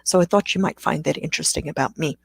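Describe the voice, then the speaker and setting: Low-voiced woman. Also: fast talker, creaky, slightly rough. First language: Afrikaans. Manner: chatty, self-deprecating, with sarcastic asides. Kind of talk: live-streamed Q&A talk.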